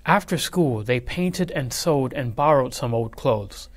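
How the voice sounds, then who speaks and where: A man reading a children's picture book aloud in an expressive, measured, dramatic way. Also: mid-range voice. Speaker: read-aloud storyteller.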